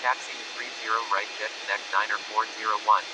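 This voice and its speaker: Fast-talking man playing airport radio man. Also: fairly low pitch, fairly even intonation, lightly acted. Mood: businesslike. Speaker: air traffic radio voice. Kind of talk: clipped radio phraseology. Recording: very loud engine noise and hiss.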